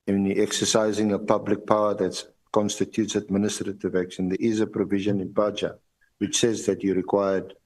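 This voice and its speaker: A South African man questioning a witness formally as evidence leader at a hearing, with halting, repetitive phrasing and lawyerly deference. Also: fairly low pitch, a little mellow, low-quality recording.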